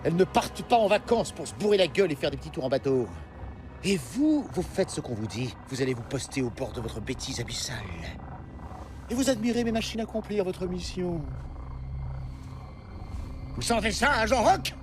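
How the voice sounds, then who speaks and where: An actor playing a pompous, contemptuous villainous scientist with a grandiose, declamatory delivery. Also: male voice, sits high, big pitch swings, moderate pace, music in the background. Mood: menacing, gloating.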